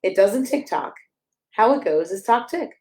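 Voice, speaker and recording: A woman reads a rhyming picture book aloud for children. Her voice sits at a middle pitch, and she delivers the lines in a playful, sing-song storybook manner, giving the rhymes clear emphasis. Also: lively intonation, normal speed, darkish tone. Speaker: pastor and storyteller reading aloud.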